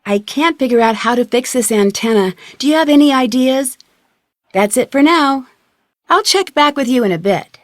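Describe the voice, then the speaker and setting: A woman playing a curious, confident young amateur detective in a video game, speaking in a clear, inquisitive, polite and self-assured way. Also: sits high, expressive, normal speed, no echo, studio quality.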